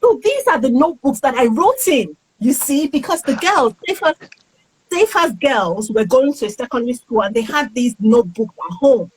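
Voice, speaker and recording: A woman's voice: high voice, animated, normal speed, a little hiss or hum, rough recording. A woman author being interviewed on a casual livestream. She is friendly and keeps greeting viewers as she talks. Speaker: author, guest.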